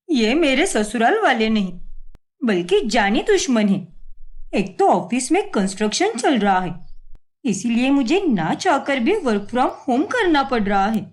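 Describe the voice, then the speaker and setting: A woman voicing a hot-tempered young working daughter-in-law in a melodramatic cartoon, sharp-tongued and sarcastic. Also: high-pitched, exaggerated voice.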